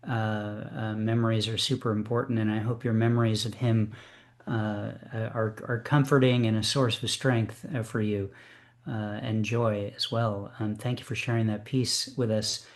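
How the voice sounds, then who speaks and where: A man's voice: fairly low pitch, little pitch movement, moderate pace. A man hosting an online poetry reading, speaking with many fillers and restarts. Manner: warm, hesitant, many fillers. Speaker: host. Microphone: video call.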